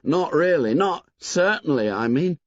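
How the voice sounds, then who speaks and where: A man voicing an excitable schoolboy who rambles eagerly in breathless run-on sentences. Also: a little high, unhurried, no echo, voice pushed up. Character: chatty excitable schoolboy, Maurice. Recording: dull lo-fi dry audiobook.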